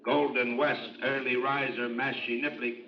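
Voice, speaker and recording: An actor playing an impassive race caller, reading results in a clipped, matter-of-fact list over a loudspeaker. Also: male voice, mid-range voice, little pitch movement, moderate pace. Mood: businesslike. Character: impassive racetrack results caller, adult man. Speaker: race announcer. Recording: echoey, distant, muffled loudspeaker sound.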